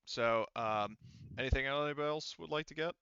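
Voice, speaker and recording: Guy game mastering a tabletop rpg, forceful clear voice, thinks out loud, dull lo-fi audio. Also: medium pitch, no echo. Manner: halting, thinking aloud while checking rules. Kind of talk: casual tabletop roleplaying game refereeing.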